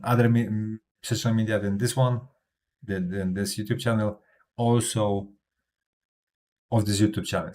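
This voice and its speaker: Hesitant low-voiced European man. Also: darkish tone. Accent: non-native English. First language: not English. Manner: hesitant, many fillers and restarts. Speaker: crypto livestreamer. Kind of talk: casual livestream talk.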